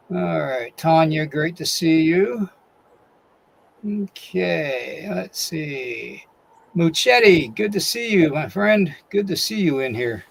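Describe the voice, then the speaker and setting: A man's voice: on the high side, vocal fry. A man hosting a live-stream podcast, reading a disclaimer and greeting chat viewers by name, who says he is losing his voice. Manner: reads a long disclaimer, then greets chat viewers one by one. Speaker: podcast host.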